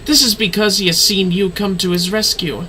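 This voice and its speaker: A man's voice: sits high, fairly even intonation, moderate pace, sharp tone, slight background noise, pitched above the performer's own voice. A man voicing a solemn, kindly mystic mentor, reading game dialogue aloud in an earnest, theatrical way. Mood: solemn, reassuring.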